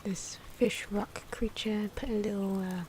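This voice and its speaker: A woman speaks softly in an ASMR style, now and then dropping to a whisper. Her medium-pitched voice rambles along playfully and without hurry, as casual commentary on drawing. There is clear noise of scratching pens behind her. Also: fairly even intonation, a little slow. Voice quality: soft, often breaking into whispers. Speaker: host of an ASMR drawing channel. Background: pen and marker scratching.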